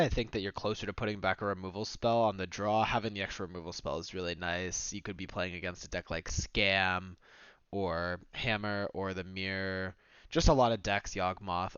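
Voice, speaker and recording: A man hosting a game review, talking through choices in a relaxed, explanatory way with frequent fillers. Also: low-pitched, clean tone, no room sound, lo-fi sound. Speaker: host reviewing submitted games. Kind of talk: casual game analysis commentary.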